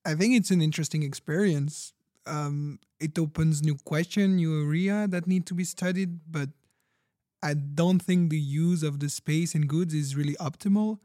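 A man's voice: a little high, moderate pace, warm tone, no room sound, clean studio sound, lightly acted. A man playing a sober, efficiency-minded skeptic in a fiction podcast, detached and analytical. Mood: skeptical. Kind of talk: fiction podcast panel interview acting.

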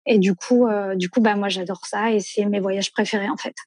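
Thirties woman from France, mellow tone, chatty and meandering, dry room. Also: medium pitch, fairly even intonation, moderate pace, dry recording. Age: 30s. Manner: rambling, many fillers and self-corrections. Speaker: guest. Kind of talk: casual conversational interview.

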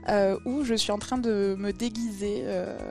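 A woman's voice: medium pitch, fairly even intonation, a little slow, a little mellow, faint music behind. A woman with a southern French accent from Toulouse, a podcast guest chatting casually with many fillers. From Toulouse, France. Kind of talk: casual interview chat.

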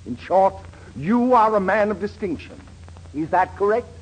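An actor playing a pushy, flattering advertising salesman in a 1940s radio comedy. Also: high-pitched, normal speed, slightly rough. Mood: flattering, persuasive. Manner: glib, flattering sales patter. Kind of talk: 1940s radio sitcom acting. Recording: noisy old 1940s radio broadcast.

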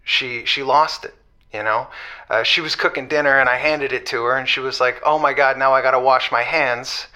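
Man acting bright, rambling, sheepish romantic radio caller. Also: mid-range voice, fairly even intonation, clear, resonant tone. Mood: pleading. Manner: rambling, halting, repeats himself. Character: sheepish, defensive, hopelessly romantic junk-collecting husband. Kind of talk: semi-improvised comedic audio drama.

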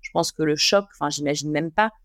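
A man's voice: a little high, a little fast, fairly bright tone, no echo, clean studio sound. A man hosting an interview, asking long rambling questions full of fillers. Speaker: podcast host.

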